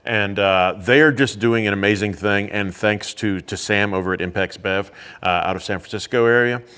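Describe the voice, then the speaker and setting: A man who teaches about whiskey, explaining things in a relaxed, casual way with lots of fillers. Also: on the low side, moderate pace, smooth. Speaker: whiskey educator. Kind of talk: casual chat. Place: indoors at a teaching academy.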